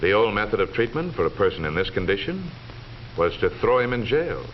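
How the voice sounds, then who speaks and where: Deep-voiced American man, vintage film narrator. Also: big pitch swings. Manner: formal, measured, authoritative delivery. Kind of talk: 1940s scripted educational film narration. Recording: dull vintage 1940s film soundtrack, faint noise.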